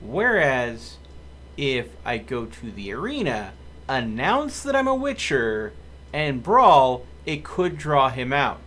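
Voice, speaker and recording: Male game streamer, mid-pitched and expressive, thinking aloud at a measured pace in casual commentary. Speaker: video game livestreamer. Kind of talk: casual live gameplay commentary.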